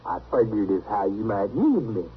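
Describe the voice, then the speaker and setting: An actor playing a naive, stubborn, good-natured backwoods drifter with a rustic Southern drawl, earnest and artless, in old radio western style. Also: male voice, lowish voice, sing-song, moderate pace, phone-quality sound. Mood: eager. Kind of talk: 1950s radio western acting. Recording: band-limited, noisy old radio recording.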